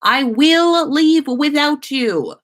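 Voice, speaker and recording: A woman's voice: sits high, lively intonation, slow pace, fairly bright tone, pitched above the performer's own voice, full character voice. A woman playing a good-humored, scolding husband and father in a story reading, speaking emphatically in a raised voice. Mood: impatient calling.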